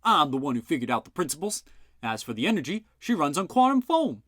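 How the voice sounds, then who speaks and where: A man voicing a gruff, blustering older male inventor who talks loud and boastful. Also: on the high side, big pitch swings, fast, no echo, clean studio sound, pitched above the performer's own voice.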